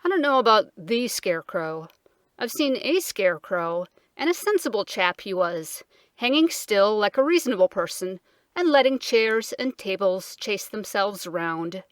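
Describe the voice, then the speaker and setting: Woman putting on a drowsy cross king, solemn and irritable, lively pitch, dry sound. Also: measured pace, dry recording, natural voice. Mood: grumpy. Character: sleepy, cross old king.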